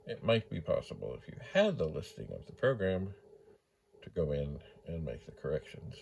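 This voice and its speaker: A man's voice: sits low, sing-song, moderate pace, vocal fry, darkish tone, slight background noise, rough recording. A man talking casually as he demonstrates something for a vlog, rambling along and playfully repeating his phrases. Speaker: vlogger demonstrating vintage computers.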